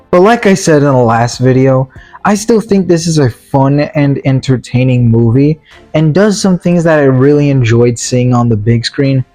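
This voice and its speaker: A man giving a casual, opinionated movie review as a video commentator, in a halting, repetitive, slangy way. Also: fairly high pitch, darkish tone.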